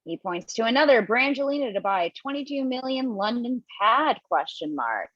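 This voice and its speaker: A woman reads stage directions aloud for a table read, briskly and with a fairly high voice. Her mic sounds dry and a little dull, like a home setup on a video call. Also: very animated, fairly bright tone, dry recording. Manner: brisk, laughs at her own slips. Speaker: narrator reading stage directions.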